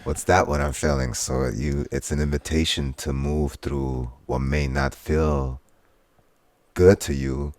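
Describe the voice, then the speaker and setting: A man's voice: extremely low pitch, steady tone, measured pace, a little mellow, no echo. A man from Switzerland whose first language is Swiss German, talking earnestly as an interview guest and using spiritual turns of phrase. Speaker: guest, soul singer and DJ. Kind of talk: casual conversational interview.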